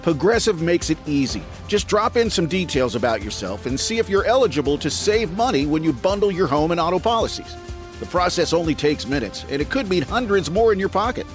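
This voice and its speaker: A man's voice: on the high side, sing-song, fairly quick, soft background music. A man reading a scripted advertisement as an announcer.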